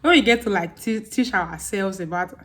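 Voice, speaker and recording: Young nigerian woman chatting to camera, lively, acts out her friends. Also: medium pitch, normal speed. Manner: animated, acts out friends' lines with laughing. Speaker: vlogger. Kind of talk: casual chat to camera.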